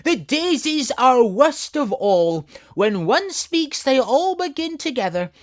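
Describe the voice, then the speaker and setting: Reader doing a bossy tiger lily, high, loud, slow and sweeping, impatient. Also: big pitch swings, heavily acted. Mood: indignant. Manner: loud, high and drawn-out, scolding.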